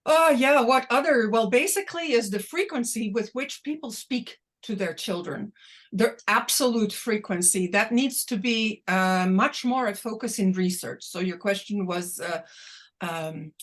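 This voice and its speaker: A woman whose first language is Dutch, speaking deliberately and a little slowly as an invited academic answering questions on a video call. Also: on the high side. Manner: deliberate, slightly slowed and exaggerated. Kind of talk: online academic talk, Q&A.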